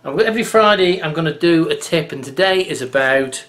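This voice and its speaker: A man's voice: on the high side, animated, brisk, a bit bright. A man in his fifties, hosting a casual bushcraft tip from his home office webcam, chatty and folksy, muttering as he carves. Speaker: bushcraft channel host. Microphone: webcam on desk.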